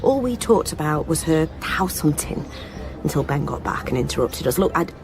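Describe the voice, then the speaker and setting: Halting, low-voiced grieving woman, music behind. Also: very animated. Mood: insistent. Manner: halting, earnest, pleading. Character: anxious, grieving woman, missing woman's loyal best friend. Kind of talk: naturalistic radio drama acting.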